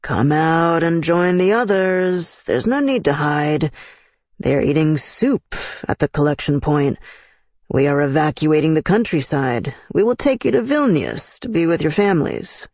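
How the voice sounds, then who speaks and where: Sly soldier man coaxing people out of hiding, husky and dry; narrator doing the voice. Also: medium pitch, lively intonation, measured pace, darkish tone, no echo. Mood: false coaxing. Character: menacing adult male soldier coaxing hiding villagers.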